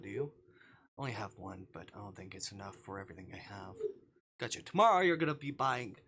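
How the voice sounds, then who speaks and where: A man putting on a flirty, doting best friend who showers her pal with affection and teasing pet names, voice lifted a little and bright, with a faint hiss of noise under it. She sounds eager and generous in this visual novel reading. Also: mid-range voice.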